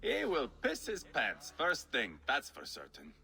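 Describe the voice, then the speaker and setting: An actor voicing a fierce, mocking, bloodthirsty Viking warrior in a video game, with a crude, theatrical, taunting manner. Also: male voice, mid-range voice, wildly swooping pitch, a little slow, vocal fry, bright, forceful tone, slight background noise, full character voice. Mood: scornful. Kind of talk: video game character acting. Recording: music behind the voice.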